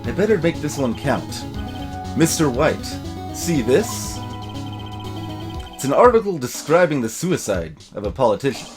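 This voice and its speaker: An earnest young defense lawyer in a game played by a man, serious and determined. He speaks in a measured, unhurried way over faint music. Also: medium pitch, big pitch swings.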